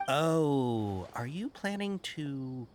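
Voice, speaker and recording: A man's voice: medium pitch, sing-song, extremely slow, darkish tone, a little hiss or hum. A man playing a flustered caravan merchant in a video game, hesitant and eager to please. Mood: businesslike. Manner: hesitant, effusive. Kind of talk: scripted video game dialogue.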